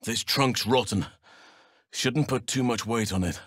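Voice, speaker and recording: A man voicing a noble, gentle-mannered archdruid in a fantasy video game, speaking in a measured, earnest, formal way. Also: medium pitch, breathy edge, dry recording, studio quality. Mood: curious, calm. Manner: measured, earnest, formal, old-fashioned phrasing.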